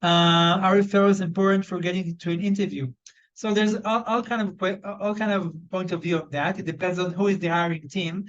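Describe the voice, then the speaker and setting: A man's voice: high voice, little pitch movement, brisk, muffled recording. A man with an Israeli accent leading a live online job search training. He talks loosely and conversationally, with many fillers and restarts. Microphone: video call.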